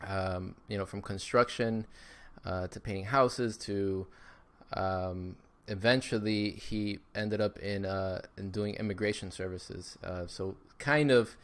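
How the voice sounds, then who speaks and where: Upbeat podcast guest, a man in his thirties, lowish smooth voice, talks loosely with fillers. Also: fairly even intonation, slow, a bit bright. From Miami, Florida. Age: 30s. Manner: upbeat, with frequent fillers and repeats. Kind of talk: casual interview chat.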